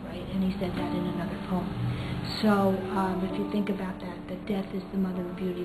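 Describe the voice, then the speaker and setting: A woman English teacher reading and explaining literature informally, in a thoughtful way. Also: medium pitch. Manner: thoughtful, hesitant, pauses often to find words. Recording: echoey room with music behind.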